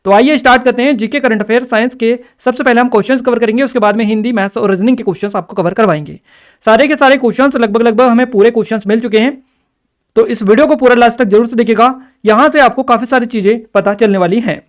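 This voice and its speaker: A man's voice: very high voice, quick pace. A man explaining exam questions step by step as a teacher, addressing students directly and checking they follow. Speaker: exam-prep teacher and channel presenter.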